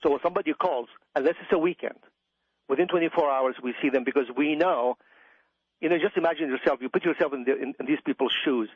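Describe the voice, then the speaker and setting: A man's voice: on the high side, fast, husky, dry recording. A middle-aged man with an Iraqi accent speaking as an expert guest in a conversational radio interview, emphatic and given to repeating phrases. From Iraq. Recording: dull, dry radio audio.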